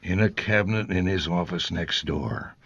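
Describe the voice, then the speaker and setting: An actor playing a bitter, tough ex-cop in a radio drama, talking in a gruff, cynical, slangy way. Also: male voice, low-pitched, moderate pace, fairly bright tone, heavily acted. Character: bitter, tough male ex-cop, now care-home resident.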